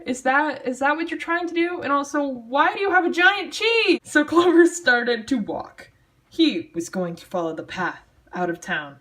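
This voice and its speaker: A woman reads a story aloud at a middling pitch, hamming it up in a mock-dramatic way. Her delivery is theatrical yet casual, with a sardonic, self-mocking edge of commentary on the material. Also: fairly bright tone. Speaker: amateur storyteller reading own childhood writing.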